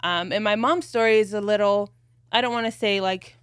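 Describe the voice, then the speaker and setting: Woman podcast co-host, dry close sound, reflective measured storyteller, mid-pitched. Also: fairly bright tone, clean tone, no echo. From United States. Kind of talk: casual podcast chat.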